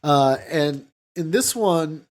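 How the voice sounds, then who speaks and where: A man's voice: on the high side, lively intonation, takes it slowly. A man hosting a podcast, talking casually about films in a hesitant, rambling way with lots of "you know". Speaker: podcast host. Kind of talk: casual film discussion.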